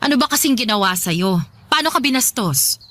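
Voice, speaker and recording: A woman's voice: fairly high pitch, animated, brisk. A woman playing a defensive, argumentative middle-aged mother who scolds and dismisses, in a Filipino radio drama. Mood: surprised, doubting. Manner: argumentative, sharp questioning retorts.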